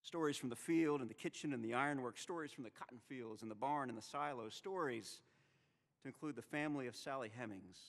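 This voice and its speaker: A man in his thirties preaching a sermon at a chapel lectern microphone, measured and rhetorical with dramatic pauses. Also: mid-range voice, vocal fry. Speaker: seminary president preaching in chapel. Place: chapel with stone wall, at a wooden lectern. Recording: long reverb of a chapel hall.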